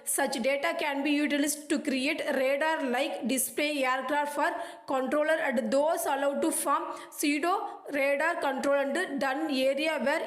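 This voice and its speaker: A woman with an Indian accent reading study notes aloud in a choppy way, dropping small connecting words. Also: high-pitched. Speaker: presenter of an educational video. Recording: echoey room.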